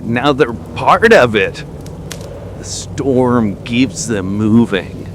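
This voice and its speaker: A man playing a desperate, freezing lone radio broadcaster, speaking in a haunted, halting way in a survival horror drama. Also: mid-range voice. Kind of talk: slow survival horror monologue. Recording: noisy radio with storm ambience.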